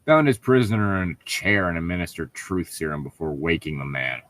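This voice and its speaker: A man's voice: deep voice, sing-song, brisk, fairly bright tone. A man reading fan fiction aloud and breaking off into sarcastic, sweary commentary with an exasperated, mocking manner.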